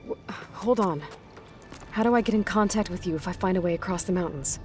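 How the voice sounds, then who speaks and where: An actress playing a young woman hunter in a video game, talking calmly and thoughtfully. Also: on the low side, a little fast, soft background music, natural voice. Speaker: protagonist.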